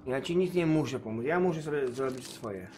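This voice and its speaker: A man's voice: mid-range voice, animated, fairly quick, darkish tone, a little hiss or hum. A man doing casual gameplay commentary as a streamer, muttering to himself and swearing freely. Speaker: game streamer.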